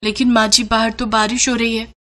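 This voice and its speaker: A woman's voice: on the high side, little pitch movement, fairly quick, pushed and bright, voice pushed up. A woman voicing a meek, long-suffering young daughter-in-law, polite and plaintive, in a family story.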